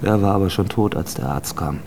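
A calmly menacing male stranger in a radio play, deep-voiced with a gravelly fry. He philosophises deliberately and keeps probing, over clearly audible background noise. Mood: matter-of-fact. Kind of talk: German radio drama acting.

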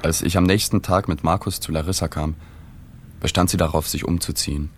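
An actor playing a sober journalist who narrates a radio drama in a matter-of-fact, observant way. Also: male voice, deep voice, fairly even intonation, brisk, background noise, lightly acted. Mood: sombre, restrained. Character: sober male journalist narrating his reporting.

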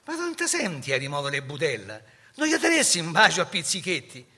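An actor playing an irreverent Roman commoner, reciting dialect verse in a mocking, colloquial, emphatic way with a Roman accent. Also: male voice, fairly high pitch, huge pitch swings, brisk, bright, forceful tone, slightly rough, full character voice. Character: irreverent Roman commoner man sizing up the Pope.

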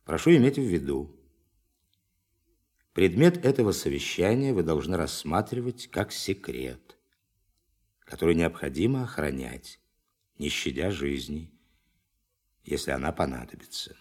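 An actor playing a forceful science official who addresses a meeting formally and emphatically in a radio play. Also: male voice, very deep voice, sing-song, measured pace, pauses often, breathy edge, heavily acted. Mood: grave, formal.

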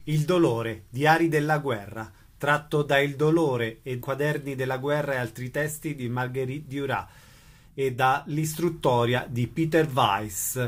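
A man's voice: medium pitch, unhurried. A man reading a scripted radio announcement. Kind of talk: scripted announcement of theatre listings.

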